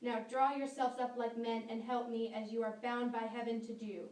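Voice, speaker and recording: A distant, roomy recording of a woman as an unbending Puritan judge. The voice is fairly high and declaims with pompous command, as in an amateur stage drama. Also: level tone, moderate pace, darkish tone, close to an everyday voice. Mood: stern, resolute. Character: stern, unbending male judge in Puritan times. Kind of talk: amateur stage-play acting. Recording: echoey room, distant mic.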